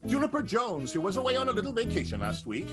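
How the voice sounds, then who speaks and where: A man's voice: high voice, huge pitch swings, quick pace. A man narrating an improvised radio play in a wry, conversational way. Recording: loud music under the voice.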